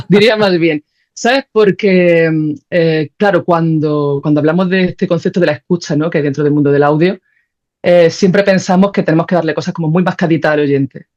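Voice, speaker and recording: A woman's voice: low voice, normal speed, a little mellow. A woman gives conversational interview answers in a reflective, emphatic way, reworking her phrases as she thinks. The recording is a little dull and lo-fi. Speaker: podcast guest, audio creator and musician. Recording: slightly dull lo-fi audio.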